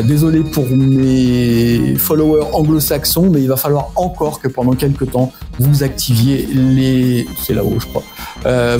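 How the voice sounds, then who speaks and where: A man's voice: mid-range voice, dark tone. A French man talking casually to his channel's viewers, rambling and joking at his own expense with lots of hesitations. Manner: rambling, self-mocking, many hesitations. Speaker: miniature-hobby YouTuber. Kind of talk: casual vlog talk to subscribers.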